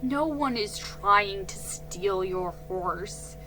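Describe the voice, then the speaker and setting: A woman voicing a calm, firm father who speaks in a measured way, in a children's storybook reading. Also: medium pitch, heavily acted. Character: calm, firm father, middle-aged man. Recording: faint music behind.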